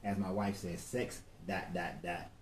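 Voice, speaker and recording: A man's voice: low voice, steady tone, measured pace. Laid-back man in his forties. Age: 40s. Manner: laid-back, slangy, talks to viewers by name. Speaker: co-host. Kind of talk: casual livestream talk. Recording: echoey room with faint background noise.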